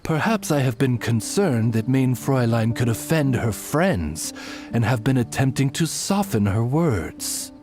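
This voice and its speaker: A man's voice: mid-range voice, very animated, unhurried, music in the background. A man playing a courteous, butler-like familiar in an anime game, speaking politely and formally with dry tact. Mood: dryly courteous. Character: courteous male familiar, refined, dryly tactful butler-like attendant. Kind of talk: anime video game voice acting.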